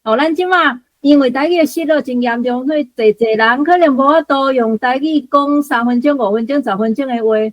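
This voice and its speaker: A woman's voice: high voice, fairly quick, few pauses, dry recording, dull audio. A woman with a Taiwanese accent giving unscripted explanatory commentary in a conversational way, full of particles and small asides. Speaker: guest commentator.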